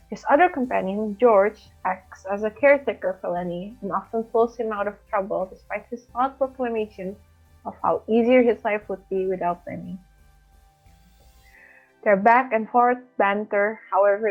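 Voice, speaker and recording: A young woman from Indonesia reads a prepared story summary aloud at a medium pitch. The recording is narrow and phone-like, with music playing behind her voice. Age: young adult. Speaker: student reader. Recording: thin phone-like audio with background music.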